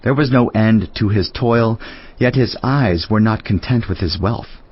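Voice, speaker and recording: Male scripture narrator, lowish voice, grave and deliberate phrasing for an audio Bible, slightly dull recorded sound. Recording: slightly dull, band-limited audio with music. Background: clearly audible background music.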